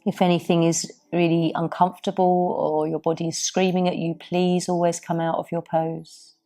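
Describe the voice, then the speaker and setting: A woman teaching a yoga class, guiding the poses and breathing in a soft, soothing, unhurried way. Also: lowish voice, flat delivery. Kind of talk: guided yoga class instruction.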